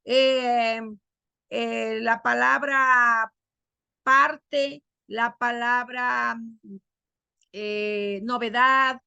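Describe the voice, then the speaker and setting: A woman teacher giving an online class over a video call, quizzing her students and drawing out key words slowly. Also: on the high side, creaky, sharp tone, clear, resonant tone, no room sound.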